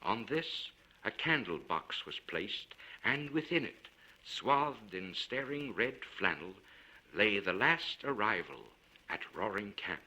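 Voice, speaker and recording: A man reading a literary story aloud in a measured, formal and expressive way. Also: fairly low pitch, poor audio. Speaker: audiobook narrator.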